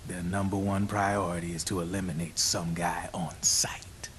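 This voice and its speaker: An American actor playing a slick, talkative arms dealer in a video game cutscene, with streetwise, theatrical, drawn-out phrasing. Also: male voice, low-pitched, flat delivery, a little hiss or hum. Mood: confiding. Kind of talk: video game cutscene acting, explanatory monologue. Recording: loud music over the voice.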